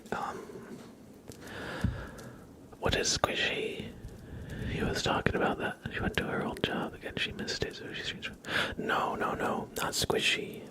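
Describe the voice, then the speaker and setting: Male ASMR host, whispered and breathy, slow casual chat to viewers, soft and a little hesitant. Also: low-quality recording.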